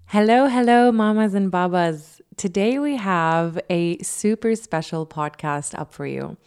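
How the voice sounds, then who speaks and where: Female podcast co-host, slightly low-pitched, enthusiastic, effusive, talking straight to listeners. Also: measured pace, darkish tone, clear, resonant tone. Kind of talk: upbeat podcast hosting, addressing listeners.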